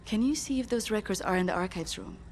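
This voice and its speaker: An actress playing a studious resistance researcher in a video game, with a careful, hesitant, precise way of talking. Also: female voice, mid-range voice, quick pace, darkish tone, faint music behind, natural voice. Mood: focused. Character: studious, soft-spoken resistance researcher woman, methodical. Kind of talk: dramatic video game acting.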